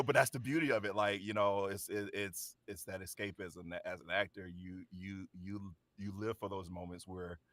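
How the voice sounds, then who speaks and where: A man's voice: fairly low pitch, pushed and bright, dry recording. A man chatting casually as a voice actor on an after-show panel, laughing often and stumbling over his words.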